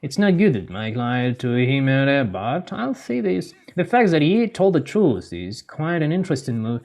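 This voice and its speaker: Lively, joking man recapping a game. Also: mid-range voice, creaky. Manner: halting non-native English, joking digressive asides. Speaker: gaming channel host. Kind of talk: game story recap with commentary.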